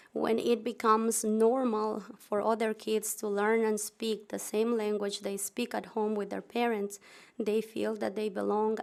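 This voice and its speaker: Flat, gravelly woman. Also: medium pitch. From Ecuador. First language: Spanish. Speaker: parent giving public comment. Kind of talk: reading a prepared letter aloud. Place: public meeting room.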